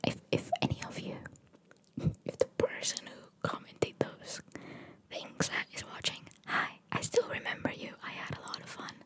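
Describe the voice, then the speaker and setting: A woman whispering as an ASMR host, reading out trivia in a breathy voice with casual, chatty asides. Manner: casual, chatty, playfully opinionated.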